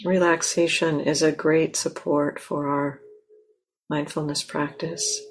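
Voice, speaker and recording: A woman meditation teacher leading a guided meditation in a gentle, unhurried manner, often pausing mid-phrase. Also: low voice, flat delivery, husky. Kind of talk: guided meditation, slow with long pauses. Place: meditation center.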